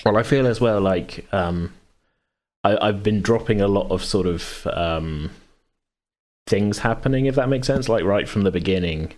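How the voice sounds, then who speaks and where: Male podcast host, very low voice, rambling casual chat with chuckles and self-corrections. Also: moderate pace, a little mellow. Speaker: podcast host and game master.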